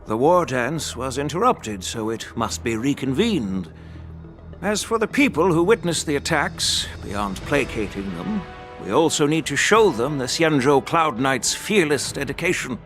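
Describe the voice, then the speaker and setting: A man playing a venerable elder strategist in a video game, speaking in a formal, deliberate, weighty way. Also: mid-range voice, sing-song, a bit bright, soft background music. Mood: resolute. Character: venerable elder statesman, measured, sagely strategist. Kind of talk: scripted video game dialogue.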